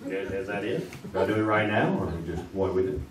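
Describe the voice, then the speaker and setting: A man's voice: deep voice, quick pace, natural voice. A man playing a folksy, joking 1950s game show host on a theater stage. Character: jokey bumbling male 1950s quiz host. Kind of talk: live stage radio acting. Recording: roomy theater, far mic, audience noise.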